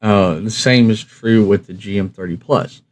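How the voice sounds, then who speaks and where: A man with a fairly low voice talks straight to his viewers in a casual, instructional way. He sounds plain-spoken and folksy, rambling a little as he explains things like the host of a hobby review channel. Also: moderate pace.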